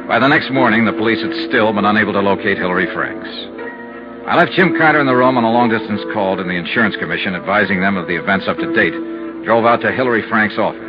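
An actor playing a cool, shrewd insurance investigator in a 1950s radio drama, terse, measured and matter-of-fact. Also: male voice, fairly low pitch, animated, music in the background, lightly acted. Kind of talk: 1950s radio drama, hard-boiled narration and dialogue. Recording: muffled 1950s radio recording.

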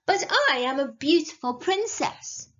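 A spoiled young fairy-tale princess, acted out by a woman narrator, haughty and demanding. Her voice is high and swooping, plaintive yet full of entitled insistence. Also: sing-song, a little slow, fairly bright tone, dull audio, exaggerated voice.

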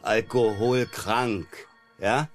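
A cornered male doctor in a radio crime drama. His voice is very low, his manner evasive and smug, with music clearly behind it. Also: fairly even intonation, very slow. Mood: cornered, provocative. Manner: defensive, evasive.

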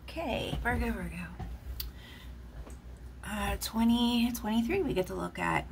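A woman's voice: fairly high pitch, expressive, measured pace, gravelly, a little hiss or hum. A woman giving a casual, rambling tarot reading, chatting with lots of fillers while recorded off camera at a table indoors. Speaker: tarot reader.

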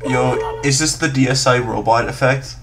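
A man's voice: medium pitch, steady tone, moderate pace, vocal fry. A young man in casual chat on an online call, teasing and egging his friend on. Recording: very noisy online voice chat with clicks.

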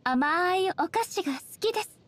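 A woman voicing a dignified, ageless shogun goddess in a game, speaking softly in formal, measured, polite phrases. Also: very high-pitched, expressive. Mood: prim, firm. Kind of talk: scripted game character voice lines.

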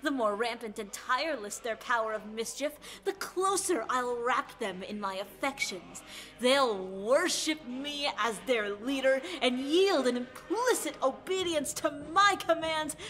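A high, bright-voiced little boy baron played by a woman struts through a radio play. He is boastful and precocious, declaiming grandly with lively swings of pitch. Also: a little slow. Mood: defiant, scheming. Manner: pompous, grandiloquent. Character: boastful, precocious rich young boy adventurer from Germany. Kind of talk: theatrical audio drama acting.

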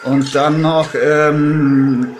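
A man plays an eager bro on a monster hunt in a low-budget horror audio play. His slightly high voice is full of slang and English words. It sounds echoey, with clear vehicle-like noise in the background. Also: level tone, very slow. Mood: casual, organizing. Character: eager male bro driver on a monster-hunting trip. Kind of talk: amateur German horror audio play, improvised dialogue.

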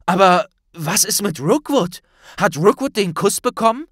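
A man's voice: sits high, animated, a little slow, a bit bright, no echo, clean studio sound, voice pushed up, exaggerated voice. A man voicing an injured young wizard, bitter, impatient and intense, in a fantasy audiobook. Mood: agitated.

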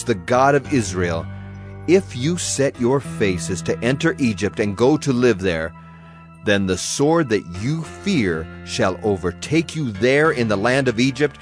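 An actor playing a stern Hebrew prophet in a dramatized audio Bible, solemn and declamatory. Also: male voice, mid-range voice, natural voice.

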